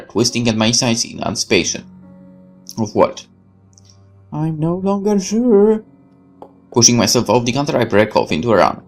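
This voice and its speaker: A man reads a horror visual novel aloud with theatrical intensity. His mid-pitched voice moves up and down a lot, over quiet background music. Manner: theatrical, intense, occasional stumbles over words. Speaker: gaming channel host reading a visual novel aloud. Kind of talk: dramatic scripted horror narration.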